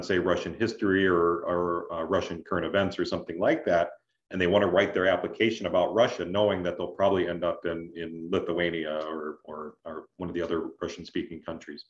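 Very low-pitched man on a roomy call. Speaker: scholarship adviser, presenter. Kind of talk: explaining in an online information session. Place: indoors on a video call. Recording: roomy, dull video-call audio.

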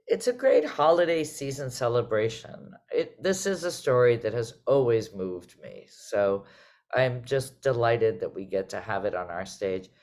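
A woman's voice: very deep voice, darkish tone. A middle-aged woman hosting a conversational panel over a video call, musing and emphatic as she circles through her phrasing. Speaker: host and theater artistic director. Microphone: video call microphone.

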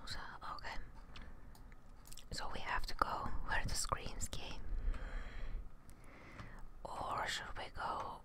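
A young woman giving hushed, whispered ASMR commentary on a video game in short murmured reactions, close to the microphone. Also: slow pace, pauses often. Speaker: gaming streamer. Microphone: microphone close in front, with headset.

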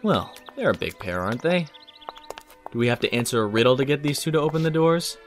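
A man's voice: medium pitch, expressive, fairly quick, faint music behind, close to an everyday voice. An actor playing a polite, understated man with dry wit in an audio drama. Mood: dryly curious. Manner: reserved, understated. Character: polite, dry-witted man visiting on business.

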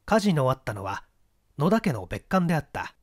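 A woman reading a mystery story as an audiobook, in a measured storytelling manner. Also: deep voice, big pitch swings, darkish tone. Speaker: audiobook reader. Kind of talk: scripted narration of a mystery story.

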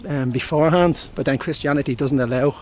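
A man's voice: fairly high pitch, a little fast, darkish tone, a little hiss or hum. A man in his forties with an Irish accent, chatting casually to a handheld camera while touring a hilltop site outdoors. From Ireland. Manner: chatty, friendly, thinking aloud. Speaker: travel vlogger. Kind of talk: casual travel vlog. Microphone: handheld camera on a gimbal. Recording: music playing in background.

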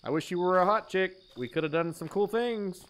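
A man's voice: high voice, fairly quick, a bit bright. A man in his thirties giving crude, playful, exaggerated joking commentary as he streams a horror game.

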